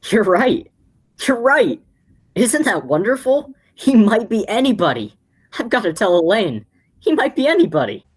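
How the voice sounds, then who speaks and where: A woman playing an excitable, flustered young man in a radio play, with a husky voice and a stammer. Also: medium pitch, moderate pace. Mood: elated.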